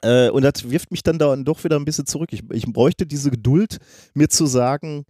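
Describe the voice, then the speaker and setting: A man's voice: on the high side, a little fast, mellow, no echo, studio quality. A man hosting a podcast while he has a cold, talking in a rambling, self-mocking way. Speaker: podcast host. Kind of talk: casual podcast chat.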